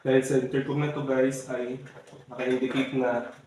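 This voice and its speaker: A man's voice: medium pitch, little pitch movement, moderate pace, darkish tone. Filipino man in a very echoey room. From Philippines. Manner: addresses viewers often, explains step by step. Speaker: motorcycle vlogger giving a product tutorial. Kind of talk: casual step-by-step tutorial. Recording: very echoey room, faint background noise.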